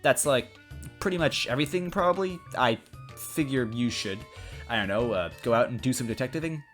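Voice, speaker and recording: A man's voice: mid-range voice, animated, a little fast, fairly bright tone, faint music behind, lightly acted. Man putting on a brash, pushy young princess. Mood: perky, unsure. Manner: casual, blunt, filler-heavy talk.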